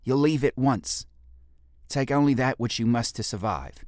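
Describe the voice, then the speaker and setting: A man's voice: medium pitch, measured pace, a little mellow, no room sound, studio quality, pitched above the performer's own voice, natural voice. A man voicing a commanding, fierce female ape pack leader in an audiobook, curt and demanding.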